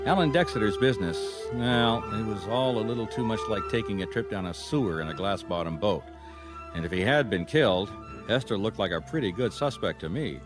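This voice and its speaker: An actor playing a wry, easygoing private detective with an American accent in a radio mystery, conversational and probing. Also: male voice, on the low side, expressive, fairly quick, music in the background, lightly acted. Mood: wry narration. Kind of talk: radio mystery drama with first-person narration.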